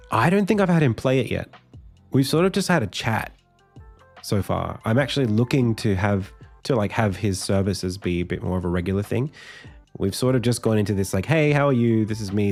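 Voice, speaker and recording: Man, low croaky voice, tired, casual streamer, many fillers and restarts, faint music behind. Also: a little mellow. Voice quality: deep, croaky voice. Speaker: indie game developer streaming. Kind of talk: casual live stream talk.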